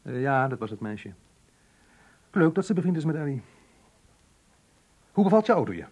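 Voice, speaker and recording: An actor in a radio play playing a brooding, guarded man, husky and reserved, speaking in a measured way. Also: a little high, big pitch swings, darkish tone. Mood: guarded, uneasy. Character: brooding, guarded man recounting his diary. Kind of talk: radio drama narration and dialogue.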